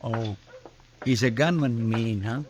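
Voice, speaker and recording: An actor playing a naive, loyal western sidekick, folksy, hesitant and respectful, with an American accent in old radio drama style. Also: male voice, mid-range voice, measured pace, lightly acted. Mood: curious. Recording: slightly noisy old radio recording.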